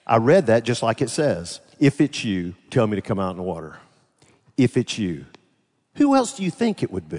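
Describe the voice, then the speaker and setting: A man preaching a sermon in a conversational manner, putting rhetorical questions to his congregation. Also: a little high. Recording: reverberant hall sound.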